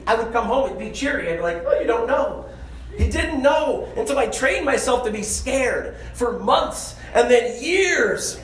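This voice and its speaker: A man's voice: very high voice, unhurried. Echoey male comic storyteller on stage. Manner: animated, conversational, self-deprecating. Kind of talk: comedic stage storytelling to an audience. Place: live stage venue. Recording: echoey stage show, audible room noise.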